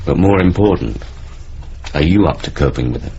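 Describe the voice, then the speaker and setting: An actor playing a wry, laconic, supportive husband in a naturalistic radio drama. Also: male voice, a bass voice, animated, moderate pace, vocal fry, dark tone, exaggerated voice. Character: laconic, wryly supportive male husband or partner. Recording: muffled, noisy old radio broadcast.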